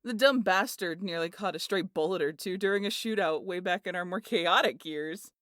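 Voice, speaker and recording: A woman acting a rowdy, muscular aunt in an audio roleplay. The voice is on the low side and closely recorded with a dry sound, swaggering and teasing as it spins tales. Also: normal speed, no room sound, natural voice. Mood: gleeful, boastful. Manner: swaggering, foul-mouthed, animated storyteller. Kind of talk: ASMR roleplay audio drama.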